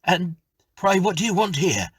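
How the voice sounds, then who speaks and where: Man voicing a startled tenant, pitched up and husky. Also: very animated, normal speed, fairly bright tone, no echo, studio quality, exaggerated voice. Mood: startled, faltering. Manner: raised, agitated delivery with sudden leaps. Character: startled, poor young male tenant, blustering yet polite. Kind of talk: dramatic ghost story reading.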